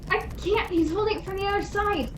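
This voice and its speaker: A woman as a high-voiced, excitable police officer. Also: fairly quick. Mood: frantic. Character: earnest, excitable male police officer, folksy and dogged. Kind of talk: radio play acting. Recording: noisy home video call audio.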